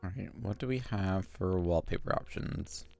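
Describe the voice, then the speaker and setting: A woman's voice: very deep voice, normal speed, gravelly, a little mellow. Woman musing aloud over game music. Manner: musing aloud to herself while decorating. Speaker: streamer. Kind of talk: casual gameplay commentary.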